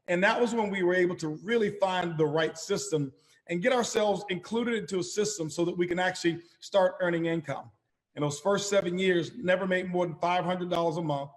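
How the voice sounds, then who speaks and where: A middle-aged man with a somewhat high, slightly raspy voice delivers a motivational business pitch over a phone call. He speaks emphatically, repeats himself for effect, and often turns to the listeners as ladies and gentlemen. A long reverb trails his words. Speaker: company chairman hosting an opportunity call. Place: calling in by phone, not a studio.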